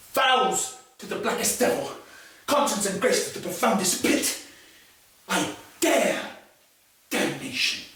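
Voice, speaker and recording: An actor playing a furious young nobleman bent on revenge, shouting impassioned classical verse. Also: high voice, full character voice. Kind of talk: classical stage acting. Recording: echoey stage recorded from far off.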